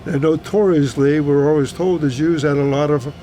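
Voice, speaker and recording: A man in his eighties from Hungary, a native German speaker, recalls his life in a halting, repetitive, plain-spoken way, as in an oral history interview. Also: fairly high pitch, steady tone, mellow, a little hiss or hum. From Hungary, German-speaking household. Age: 80s. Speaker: retired teacher recounting his life. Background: music under the voice.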